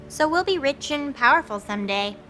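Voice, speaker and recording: A woman's voice: high voice, expressive, fairly quick, pushed and bright, slight background noise, poor audio. A woman playing a brash, teasing, cocky goddess in an audio drama, flippant and slangy. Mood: smug. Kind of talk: acted audio drama dialogue.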